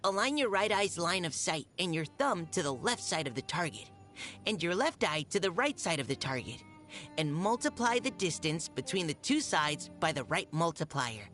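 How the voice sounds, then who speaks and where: Video game scout, young female: timid, polite, earnest, gentle delivery over background music. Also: lowish voice, very animated, moderate pace. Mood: earnest, focused. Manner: hesitant, soft-spoken, polite, earnest. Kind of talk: video game character voice lines.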